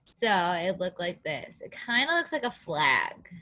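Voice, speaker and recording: A woman's voice: on the low side, very animated, a little slow, sharp tone. A woman preschool teacher reading aloud to young children, asking questions and counting in an encouraging, sing-song way with simple phrasing.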